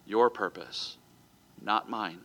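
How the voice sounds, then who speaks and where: Bright-voiced earnest American preacher. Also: male voice, on the low side, slow, gravelly. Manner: earnest preaching, some restarts and stumbles. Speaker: pastor. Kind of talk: sermon ending in prayer. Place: church sanctuary.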